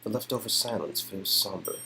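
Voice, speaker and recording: A man with a low voice narrates a romance game script. He reads in a soft storytelling style, with music behind him. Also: a little fast, creaky, rough recording. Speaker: narrator and performer.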